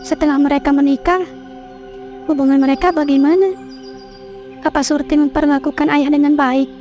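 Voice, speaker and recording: A woman's voice: high-pitched, steady tone, moderate pace, darkish tone, dull audio, soft background music, close to an everyday voice. A woman voicing an anxious young village wife in a horror cartoon, calling out and pleading. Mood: questioning. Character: young village woman searching for her husband.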